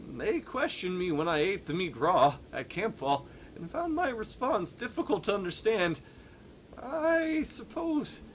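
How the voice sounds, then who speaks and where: A man's voice: high-pitched, slight background noise, pitched above the performer's own voice, low-quality recording. A man voicing a fussy, troubled adventurer who is turning into a sea creature, reading a game journal theatrically. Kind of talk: dramatic read-along of game journal.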